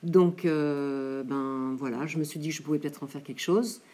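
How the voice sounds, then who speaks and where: A woman's voice: low-pitched, normal speed, dark tone. A woman teacher whose first language is English, answering casually and hesitantly with many fillers. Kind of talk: casual spoken interview answer.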